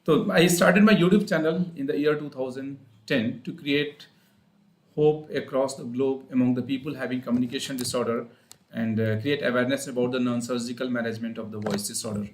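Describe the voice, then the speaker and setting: A man's voice: medium pitch, fairly even intonation, fairly quick. Indian male speech therapist hosting a live stream, hesitant, echoey sound. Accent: Indian English. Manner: hesitant, many fillers and restarts. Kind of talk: live online session, explaining. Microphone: online call. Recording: roomy echo.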